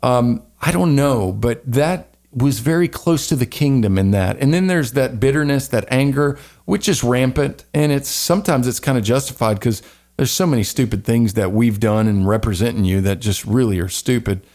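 A man's voice: medium pitch, moderate pace, darkish tone. A man with a light Southern American accent, co-hosting a casual podcast, rambling and earnest, moving between joking chat and spoken prayer. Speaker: podcast co-host.

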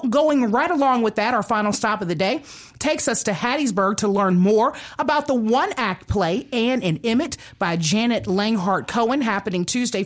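A woman radio host with a mid-range voice. She talks in an informal, chatty way as she introduces segments and reads out local events in a studio. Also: medium pitch. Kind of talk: radio magazine hosting and event announcements.

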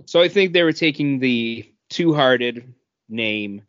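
A dry, slightly dull recording of a man with an average-pitched voice. He speaks as a podcast co-host, explaining in an informal way and at times reading text out. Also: lively intonation, takes it slowly, a bit bright, no echo. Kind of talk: casual explanatory chat, some reading aloud.

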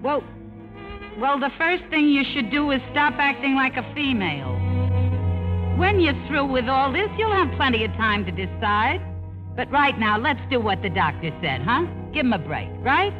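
A woman's voice: sits high, sing-song, normal speed. A woman playing a blunt, wisecracking, big-hearted nurse in a 1950s radio drama. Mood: firm, comforting. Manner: blunt, slangy, reassuring. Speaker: actress and narrator. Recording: muffled old radio recording, music behind.

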